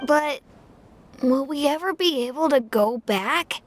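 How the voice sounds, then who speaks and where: A woman's voice: high voice, expressive, measured pace. A woman playing a small, earnest, playful boy with a childlike voice in an anime game. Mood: hesitant. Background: game music.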